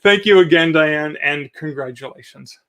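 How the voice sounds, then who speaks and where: A man's voice: on the high side, normal speed, bright, forceful tone, clean tone. A man hosting a live-streamed awards ceremony over a video call, reading nominees in a jokey, upbeat way. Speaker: awards host.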